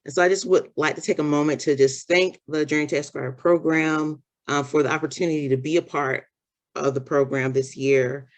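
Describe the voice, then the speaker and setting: A scholar with a middling pitch gives sincere thanks at a program event. The delivery is halting, filled with "um" and "you know", but warm in its gratitude. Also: steady tone.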